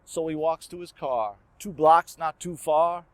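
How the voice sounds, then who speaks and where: High-voiced man performing spoken word poetry. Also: measured pace, no echo. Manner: rhythmic, emphatic, earnest delivery.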